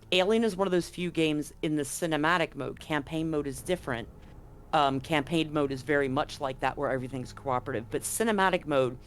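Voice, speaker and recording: A man as game master of a tabletop roleplaying game, explaining rules and recapping in a chatty way with frequent ums. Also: on the high side.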